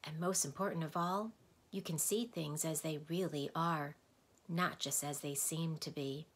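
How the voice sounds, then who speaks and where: A woman voicing a gentle, wise young man of the forest who speaks softly, almost in a whisper. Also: deep voice, steady tone, unhurried, husky. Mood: gentle, wistful. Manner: soft, half-whispered. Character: gentle, soft-spoken male forest guide, wise.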